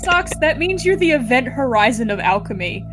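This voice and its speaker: A woman's voice: high voice, big pitch swings, normal speed. A woman playing a tabletop role-playing game, talking casually with the others at the table. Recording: music playing behind the voice. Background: background music.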